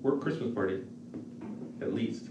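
A man's voice: fairly low pitch, unhurried. A man playing a tabletop game, talking in casual chat with the group. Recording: distant, dull, echoey, very noisy.

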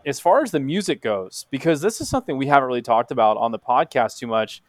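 A man hosting a casual hockey podcast in a chatty, easygoing way, often stopping to restart his sentences. His voice sits at an ordinary pitch. The sound is close and dry, with no room around it. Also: expressive, fast, dry recording. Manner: easygoing, filler-heavy, chatty. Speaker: podcast host.

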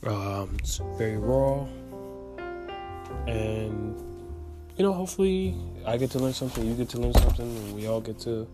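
A young man in his twenties, a native Spanish speaker with a faint Dominican accent, hosts a solo podcast in an earnest, motivational way. He rambles, restarts sentences and drops fillers as he goes. Clear music plays under his voice. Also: mid-range voice, moderate pace, long pauses. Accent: light Dominican Spanish. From Dominican Republic. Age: 20s. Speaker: podcast host. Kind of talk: casual solo podcast monologue.

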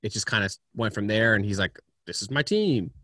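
A man with a fairly low voice, talking over a video call from home. He tells his stories in a chatty, animated way. The sound is dry and close, with no room echo. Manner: chatty storyteller, quick and animated. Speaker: interview guest, video agency founder. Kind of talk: casual remote interview.

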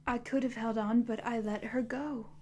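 A woman's voice: a little high, steady tone, normal speed, mellow, natural voice. A woman voicing a grieving, guilt-ridden teenage boy who pleads with a choked, rising voice. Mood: distraught.

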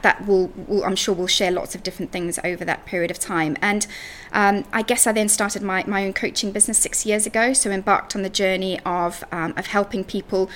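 A woman's voice: medium pitch, a bit bright. Woman giving a conversational interview. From Zimbabwe. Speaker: podcast guest, life and business coach.

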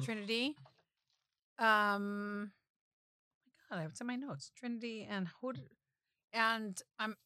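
A woman's voice: a little high, sharp tone, no room sound, studio quality. A middle-aged woman, a podcast guest, bantering casually and bluntly, swearing and repeating words for emphasis.

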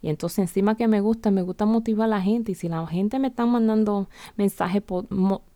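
A woman's voice: medium pitch, a little fast, dry recording. A middle-aged woman from the Bronx who speaks Spanish with a blended Dominican and Latin American accent, hosting a casual solo podcast and talking in a rambling way with many restarts. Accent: Dominican Spanish mixed with other Latin American accents. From United States (the Bronx). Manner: rambling, frequent restarts, softly spoken. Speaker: podcast host.

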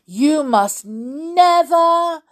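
Woman playing storybook granny, elderly, caring but firm, emphatic, very slow, lively pitch. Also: heavily acted. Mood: firm, comforting. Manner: emphatic, drawn-out, instructing. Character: caring, firm old granny scolding gently.

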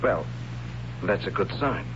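Low-voiced, gentle young captive, earnest and hesitant; dull vintage radio sound with music underneath. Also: male voice, lively intonation, measured pace, background noise. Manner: soft-spoken, earnest, hesitant. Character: captured young man, gentle and wondering. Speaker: captive narrator of the story. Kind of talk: 1970s radio drama acting and narration.

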